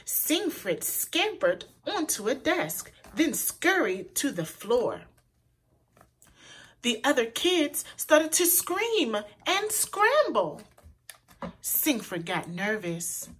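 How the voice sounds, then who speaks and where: A woman reading a children's picture book aloud in an expressive, deliberate way, as a storyteller for young listeners. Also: high-pitched, breathy edge. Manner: pauses to point out words to young listeners; animated, deliberate delivery for children.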